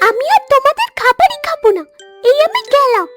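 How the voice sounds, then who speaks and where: A greedy little cartoon duckling, with an extremely high, childlike pitch. The delivery swings up and down in sulky, self-pitying complaint. Music plays underneath. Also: female voice, very animated, a little fast, fairly bright tone, heavily acted. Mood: angry, sulking. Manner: whiny, complaining.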